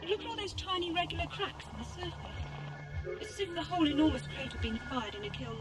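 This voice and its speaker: A woman acting as a plucky young companion in a sci-fi audio drama, sceptical and drily teasing. Her voice is high and lively in its rise and fall. Loud music plays behind her. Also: fast talker. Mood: curious. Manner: teasing, dry, questioning.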